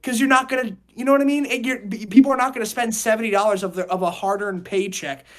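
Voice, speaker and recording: A man's voice: sits high, steady tone, fast talker. A young man talking casually and emphatically on a podcast, rambling through his opinions in slangy speech. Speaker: podcast host.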